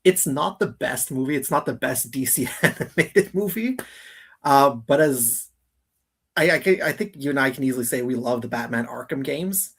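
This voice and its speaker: Husky man in casual chat. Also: mid-range voice, animated, normal speed. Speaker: co-host of a live geek countdown show.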